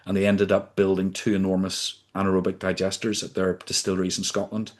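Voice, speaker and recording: A man in his fifties from the UK, a podcast guest recounting his career in a measured, deliberate way. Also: deep voice, monotone. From United Kingdom. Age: 50s. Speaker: podcast guest, sustainability consultant. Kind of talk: reflective storytelling in conversation.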